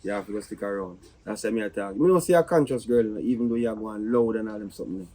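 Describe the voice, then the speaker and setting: A man's voice: fairly low pitch, quick pace, warm tone, natural voice. A man with a Jamaican accent playing an earnest, defensive Rastaman in a drama, pleading and repeating himself. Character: earnest, defensive Rastaman confessing to his lover.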